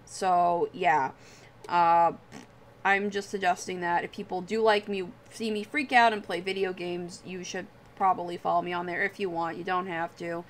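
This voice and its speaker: A woman's voice: on the low side, fairly even intonation, moderate pace, a little hiss or hum. A woman livestreamer chatting casually while she draws, rambling and self-deprecating, with casual swearing. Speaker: livestream host.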